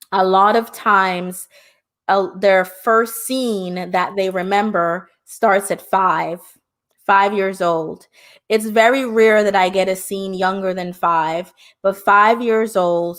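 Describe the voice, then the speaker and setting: A middle-aged woman from Jamaica, hosting a live-stream discussion and speaking passionately, often turning to address her viewers directly. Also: mid-range voice. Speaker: host and hypnotherapist.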